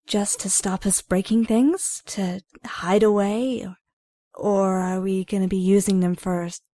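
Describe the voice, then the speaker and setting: Casting: woman as reserved teen schoolgirl, unhurried and hesitant, medium pitch, dry sound, audio drama. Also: steady tone, warm tone, no room sound, natural voice. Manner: hesitant, questioning. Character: reserved teenage girl, new student.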